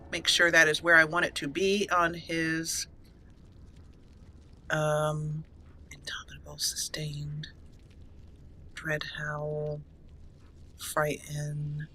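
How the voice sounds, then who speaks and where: A woman talks her way through a video game in a playful, chatty manner, laughing often and reading the on-screen text aloud. Her voice is bright and forward, and faint game music plays underneath her. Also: low-pitched. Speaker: let's play host. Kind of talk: gameplay commentary.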